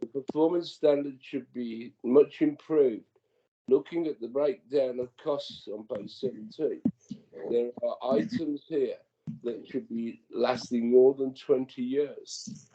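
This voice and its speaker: A man's voice: mid-range voice, warm tone, slight background noise. A male councillor asking probing questions at a formal committee meeting. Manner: measured, probing questions read from notes. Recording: muffled lo-fi meeting audio.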